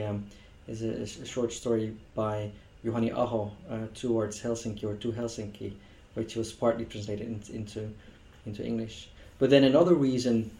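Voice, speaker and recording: A man from Belgium whose first language is Dutch, speaking as a literary scholar in an interview, pausing often with fillers and restarts. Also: mid-range voice, warm tone. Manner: hesitant, many fillers and restarts. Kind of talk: interview answer. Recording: echoey room.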